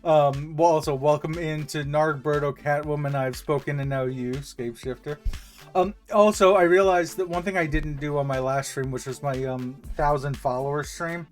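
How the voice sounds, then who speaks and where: A man streaming from home, chatting casually and rambling through shout-outs and plans with many pauses and restarts. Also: a little high, steady tone, faint music behind. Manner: rambling, lots of ums and restarts. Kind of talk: casual livestream chat.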